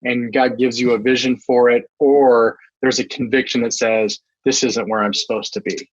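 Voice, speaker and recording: A man's voice: mid-range voice, level tone, normal speed, no room sound. A man hosting a faith-minded interview podcast, thinking aloud and offering advice. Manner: reflective, thoughtful advice. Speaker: podcast host. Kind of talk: conversational interview. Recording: dull, dry lo-fi sound.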